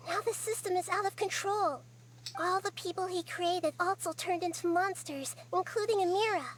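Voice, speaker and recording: A woman voicing a wise, kind small girl goddess in a video game, explaining gently. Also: extremely high pitch, normal speed. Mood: calm.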